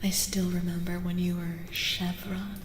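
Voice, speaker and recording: A woman livestream host doing soft-spoken ASMR, chatting casually and soothingly with viewers, often half whispering and repeating short phrases. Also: on the low side, level tone, a little slow, echoey room, slight background noise. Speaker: livestream host reading tarot for chat. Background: music.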